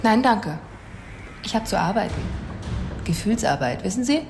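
An actress playing a composed, persistent police psychologist with dry irony in a radio drama, speaking in a measured way. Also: female voice, mid-range voice, lively intonation, vocal fry, music in the background. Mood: firm, dry. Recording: noticeable background noise.

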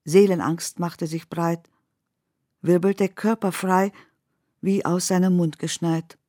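A woman reciting literary poetry for a radio play in measured, deliberate phrases. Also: on the low side, fairly even intonation, mellow, dry recording, clean studio sound.

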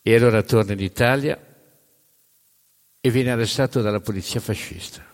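A man narrating a scripted historical story in a deliberate, dramatic way, with emphatic pauses. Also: on the low side. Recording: long hall reverb.

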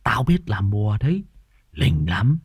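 A man's voice: fairly low pitch, big pitch swings, a little slow, breathy edge, no echo, full character voice. A man voicing a ragged, crazed old sorcerer in an audio horror story, rambling and repeating himself with a cackling manner. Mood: manic, boastful. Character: ragged crazed old male sorcerer, menacing then whimpering.

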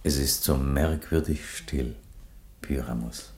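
An actor playing an older, world-weary Roman emperor in a radio play, phlegmatic, unhurried and dryly ironic. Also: male voice, a bass voice, darkish tone, heavily acted. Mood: calm, dry. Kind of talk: theatrical radio play dialogue.

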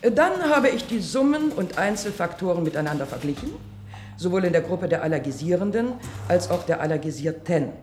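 An actress playing an earnest, slightly flustered research assistant in a radio drama. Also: female voice, on the low side, expressive, brisk, a little mellow, lightly acted. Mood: earnest reporting. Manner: precise reporting, then hesitant and defensive. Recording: roomy radio play, faint background noise.

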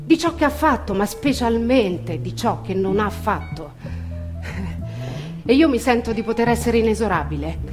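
Higher-voiced woman in a theatrical monologue over music. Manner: emphatic, deliberate pauses, conversational asides. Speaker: actor reading a political text. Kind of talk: theatrical dramatic monologue reading. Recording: music under the voice.

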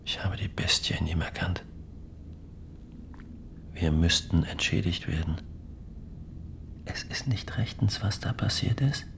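An actor playing a grim, proud farmer husband in a radio play, speaking in a hushed, gravelly voice, brooding and insistently justifying himself. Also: male voice, deep voice, measured pace, pauses often, in a whisper, full character voice. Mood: feverish certainty.

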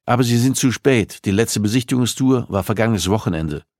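A man voicing a gruff male police investigator with a curt, deadpan, growling manner. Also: on the low side, brisk, no room sound, studio quality, pitched above the performer's own voice. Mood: curt, sardonic.

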